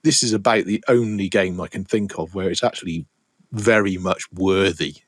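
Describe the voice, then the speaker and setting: A man's voice: sits low, moderate pace, no echo. A middle-aged man hosting a podcast in casual chat, rambling with many restarts. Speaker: podcast host.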